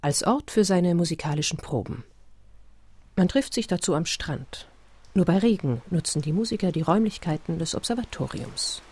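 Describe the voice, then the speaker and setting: A narrator, reading a scripted radio feature in a measured, literary way. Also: medium pitch, expressive, a little mellow, slightly rough.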